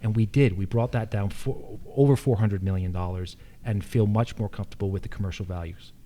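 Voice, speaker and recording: A man's voice: on the low side, fairly even intonation, fairly quick, mellow. An American man giving unscripted answers as a candidate at a public forum. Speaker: political candidate, incumbent county official.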